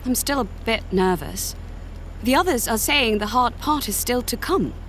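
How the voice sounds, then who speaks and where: An actress playing a young, curious navigator in an audio drama, eager but a little nervous. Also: female voice, a little high, lively intonation, moderate pace. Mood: defensive, nervous. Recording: loud ambient noise behind.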